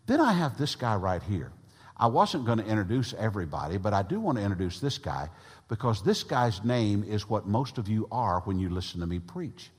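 A man preaching from the pulpit with a big voice that rises and drops with lively, animated pitch. His tone is somewhat husky, and his words ring out through a church hall with a long reverb behind them. He shifts between loud, stressed phrases and softer asides to the room. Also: fairly quick. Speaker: pastor. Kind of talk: sermon. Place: church sanctuary.

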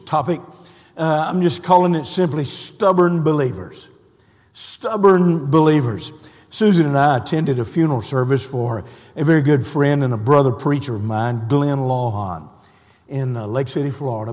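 An older man, preaching a sermon in a folksy, unhurried way with a hoarse, scratchy voice. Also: mid-range voice, a little mellow. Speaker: pastor. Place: church sanctuary. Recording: long reverb of a church hall.

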